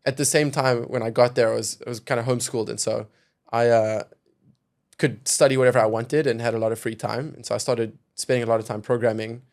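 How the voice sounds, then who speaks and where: A man's voice: mid-range voice, fairly even intonation, fast, gravelly, a little mellow. A young man from South Africa, a guest on a podcast, speaking thoughtfully and hedging often with "kind of" and "you know". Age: young adult. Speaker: venture capital investor, podcast guest. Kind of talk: casual podcast conversation.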